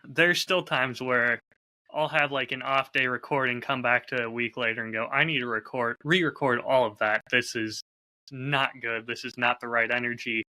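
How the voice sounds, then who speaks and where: A man's voice: mid-range voice, steady tone, normal speed, sharp tone, poor audio. A man chatting casually and candidly as a podcast host, openly self-critical about his creative work. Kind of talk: casual chat.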